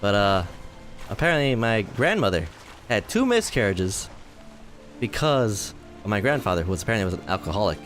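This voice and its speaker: A man in his twenties giving rambling, self-deprecating commentary while playing a game, his voice tired and a little hoarse. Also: fairly low pitch, very animated, a little fast, a bit bright, soft background music. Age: 20s. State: voice tiring, slightly hoarse. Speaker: gaming video host. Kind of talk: casual let's-play commentary.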